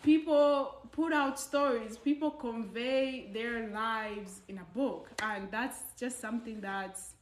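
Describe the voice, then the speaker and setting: A young woman speaks to camera with a slightly high voice, earnest and emphatic, throwing out rhetorical questions to push her points. The room is echoey around her. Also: takes it slowly, hardly any pauses, a little hiss or hum. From Uganda. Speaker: vlogger. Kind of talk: casual talk to camera.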